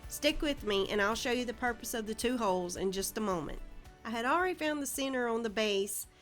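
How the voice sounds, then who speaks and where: Southern woman, fairly high voice, friendly step-by-step DIY voice-over, faint music behind. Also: animated, a little fast, a bit bright. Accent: Southern American. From Southern United States. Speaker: DIY channel host. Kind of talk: instructional voice-over narration.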